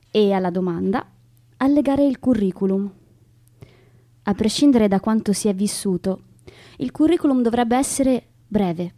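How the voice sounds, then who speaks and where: A woman giving a measured literary reading of a poem. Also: medium pitch, mellow, no room sound, clean studio sound. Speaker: poetry reader.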